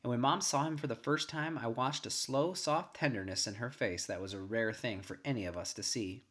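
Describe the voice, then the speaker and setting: A man's voice: mid-range voice, fairly quick, a bit bright. Man reading a short story aloud. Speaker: story reader. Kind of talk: scripted narration of a short story, first person.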